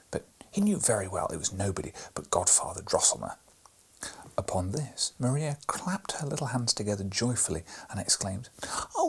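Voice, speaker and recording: A man reading a bedtime storybook aloud in a soft, hushed delivery with a breathy voice. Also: fairly low pitch, poor audio. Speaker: storybook reader.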